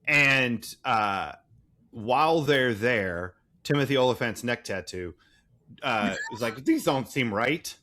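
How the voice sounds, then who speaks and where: Bright-voiced man, rambling and irreverent. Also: mid-range voice, lively intonation, takes it slowly. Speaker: podcast co-host. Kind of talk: casual movie recap chat.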